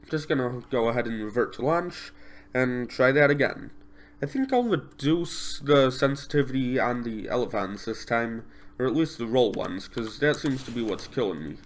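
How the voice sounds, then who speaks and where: A man's voice: medium pitch, slight background noise. Stuffed-up man narrating his gameplay. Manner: rambling, self-correcting, thinks aloud. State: stuffed up from a cold. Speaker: gameplay commentator. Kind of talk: casual gameplay commentary.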